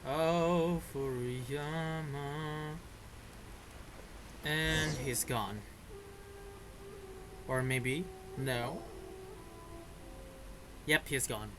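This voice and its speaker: A man's voice: on the high side, very slow, long pauses, noisy background. A man giving casual, informal reaction commentary as he streams a game. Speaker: gaming streamer.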